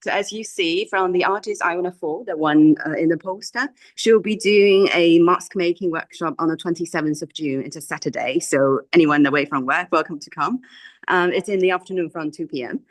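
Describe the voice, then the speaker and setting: A woman's voice: on the low side, fairly quick, no room sound. A woman gallery organiser giving informal, unscripted announcements on a live call.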